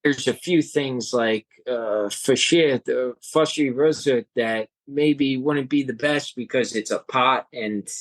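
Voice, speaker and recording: A young man, a guest on a podcast, talking earnestly and haltingly with many restarts. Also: mid-range voice, no room sound. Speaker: guest, home cook and hiker. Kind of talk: casual interview chat.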